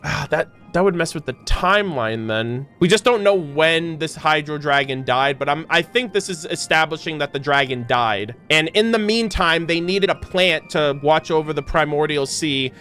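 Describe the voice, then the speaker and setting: A man's voice: on the high side, animated, normal speed, pushed and bright, clear, resonant tone. A man giving casual, off-the-cuff commentary as a gaming content creator, rambling as he thinks aloud. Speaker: video game reaction content creator. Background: background music.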